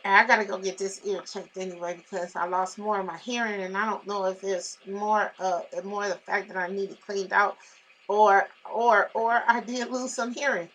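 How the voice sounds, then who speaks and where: A woman with a naturally loud voice tells a personal story to her viewers, venting in a blunt, emphatic way. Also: medium pitch, moderate pace, rough recording. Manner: blunt, emphatic, animated retelling with repetitions. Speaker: vlogger.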